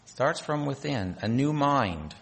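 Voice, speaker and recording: A man's voice: mid-range voice, expressive, slow pace, creaky, fairly bright tone, long reverb tail. A man from North Dakota preaching a sermon, reading scripture aloud with rising emphasis on key phrases. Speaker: pastor.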